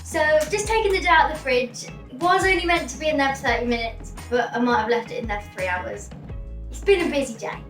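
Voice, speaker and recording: High-voiced woman in an echoey room. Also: animated, fast, fairly bright tone. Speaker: cooking show presenter. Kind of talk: casual cooking demonstration chat. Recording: echoey room, distant mic, music behind.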